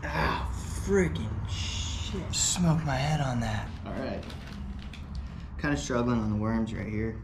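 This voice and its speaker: A man in his thirties talks his way through a fishing trip at a backyard pond. He chats casually and gets excitable, muttering to himself as he casts, at a mid-range pitch. A chest camera catches him over loud outdoor noise. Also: slow pace. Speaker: fishing vlogger. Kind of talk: casual running commentary while fishing. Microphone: chest-mounted action camera.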